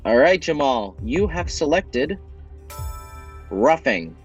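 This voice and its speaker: Upbeat quiz host with a higher voice. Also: male voice, big pitch swings, muffled recording, faint music behind. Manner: upbeat, drawn-out question reading with dramatic pauses. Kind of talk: hosting a voiced game show on a video game platform.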